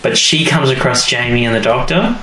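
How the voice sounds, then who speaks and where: A man co-hosting a podcast, recapping a story in casual, halting conversation with small asides. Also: medium pitch, crowd noise. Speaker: podcast co-host recapping a TV episode. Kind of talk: casual chat, episode recap. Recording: roomy echo, faint background noise.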